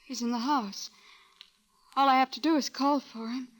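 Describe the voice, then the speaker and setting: An actress playing a friendly, curious young small-town woman in an old-time radio drama, gentle and inquisitive. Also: high voice, steady tone, moderate pace. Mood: shy curiosity.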